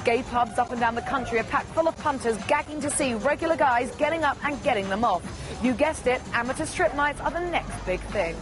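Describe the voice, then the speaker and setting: A woman's voice: high voice, a little fast, music in the background, crowd noise. A woman presenter opening a report in a cheeky, punchy way. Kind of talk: scripted report intro.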